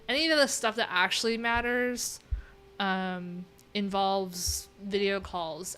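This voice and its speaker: A woman's voice: mid-range voice, fairly bright tone. A woman livestream host chatting casually and reading things aloud, with wry, informal, rambling asides. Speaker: livestream host, web developer.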